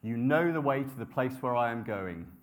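A man's voice: mid-range voice, animated, moderate pace. A young adult man giving a sermon in a conversational, self-deprecating way, with frequent ums and restarts. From grew up in Nepal and the UK. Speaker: preacher.

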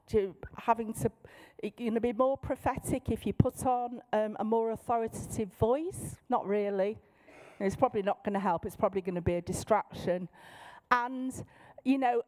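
Middle-aged manchester woman, fairly high voice, chatty down to earth church workshop talk. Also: a little mellow. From Manchester, England. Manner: light-hearted, down-to-earth, checks in with listeners. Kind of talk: informal teaching talk to a group.

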